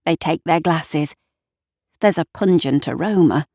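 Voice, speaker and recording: A woman reads scripted fiction at a very slow pace. Her voice sits a little low and is recorded dry, and she lingers over her phrases in a hushed, lyrical storytelling manner. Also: no echo. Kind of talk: scripted dramatic narration.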